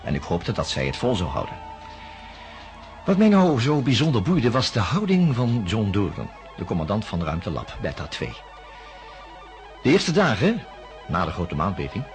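Actor as a careful male investigator, animated delivery. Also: medium pitch, lightly acted. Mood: careful, intrigued. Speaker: narrator and interviewer. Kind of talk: dramatic radio narration.

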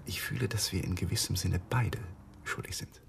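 An actor playing a guilt-ridden, stubborn young lawyer in a radio crime drama, speaking earnestly. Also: male voice, sits low, moderate pace, vocal fry, slight background noise. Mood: anguished, confessional.

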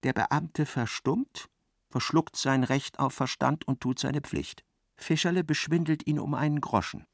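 An actor playing a dry storyteller, giving measured, precise literary narration in a radio play. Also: male voice, on the high side, creaky, no room sound.

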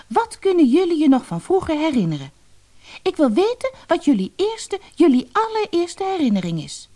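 A woman's voice: very high voice, sing-song, normal speed, warm tone, pitched above the performer's own voice. A woman voicing a determined little girl in a fairy tale, speaking in an earnest, insistent and eager manner. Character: determined, earnest little girl seeking her seven brothers.

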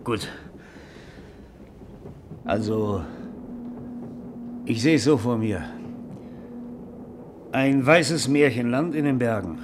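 An actor playing an eager, self-absorbed screenwriter and romantic dreamer in a German radio play, speaking in a florid, rhapsodic, theatrical way. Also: male voice, mid-range voice, drawn-out words, long pauses, noisy background. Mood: rapt, rhapsodic.

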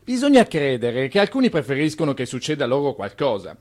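A man's voice: medium pitch, wildly swooping pitch, brisk, a little mellow. A man playing a busy, wry immigrant banker in a radio play, explaining things with sly confidence. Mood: wry, confident.

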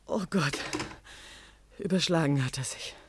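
A woman's voice: deep voice, unhurried, a little hiss or hum. An actress with speech playing a bitter, jealous young petrol station woman in a radio play, agitated and theatrical. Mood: stunned, muttering. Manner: agitated, theatrical, swinging from muttering to sharp. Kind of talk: German radio play acting.

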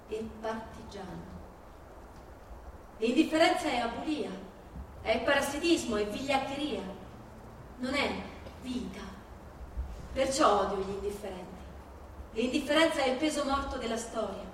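A woman with a fairly high voice recites as if from a stage, in emphatic, measured phrases. She is heard at some distance in a very echoey space, with faint noise underneath. The delivery is dramatic and declamatory. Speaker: stage performer reciting a political text. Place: live theatrical reading in a reverberant space. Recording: echoey hall, far from microphone, faint noise.

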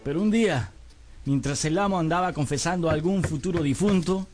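A man's voice: on the high side, fairly quick, natural voice. An actor playing a hungry, crafty young servant boy who narrates his misadventures theatrically in a radio drama. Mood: wry storytelling. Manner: theatrical, expressive storytelling. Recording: studio radio drama with music bed.

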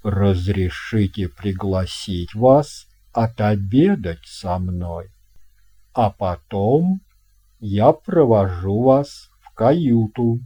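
A man voicing a big, fat, jovial ship's captain in an audio fairy tale, speaking in a grand, courteous, drawn-out way. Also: on the low side, lively intonation, mellow, breathy edge, voice pushed down, heavily acted. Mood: courtly, hospitable.

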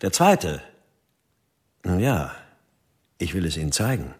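An actor playing a male police detective who confronts a suspect in a deliberate way. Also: deep voice, very animated, full character voice. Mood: confronting.

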